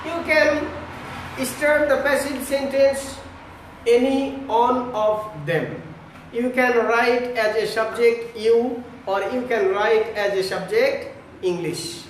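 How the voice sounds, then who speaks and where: Male teacher, classroom lesson, extremely slow delivery, repetitive explanations, very echoey distant recording. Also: extremely high pitch, a little hiss or hum. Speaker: English grammar teacher. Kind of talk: classroom lesson addressed to students. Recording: echoey room, distant microphone.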